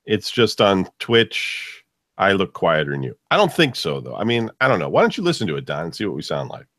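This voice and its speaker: A man with a bassy voice co-hosting a casual radio show, thinking aloud in a hesitant way during a sound check. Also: huge pitch swings, brisk, a bit bright, no room sound, clean studio sound. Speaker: radio show co-host and audio engineer.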